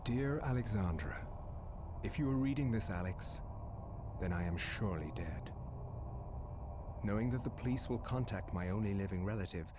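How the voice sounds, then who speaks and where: A man's voice: lowish voice, over music, lightly acted. A man voicing a grave farewell letter. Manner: grave, measured letter reading. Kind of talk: read-aloud letter narration. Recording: clear background noise.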